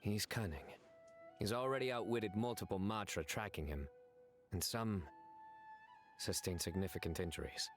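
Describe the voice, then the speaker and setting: A man voicing a grave, confident law enforcer in an anime video game, speaking tersely with measured, deadpan delivery. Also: lowish voice, lightly acted. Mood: grave, determined. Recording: game music behind.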